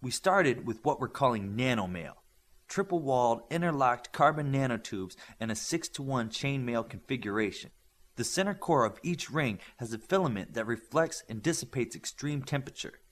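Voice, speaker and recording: A man's voice: mid-range voice, lively intonation, normal speed, lightly acted. Man reading as eager young superheroine scientist. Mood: earnest explaining.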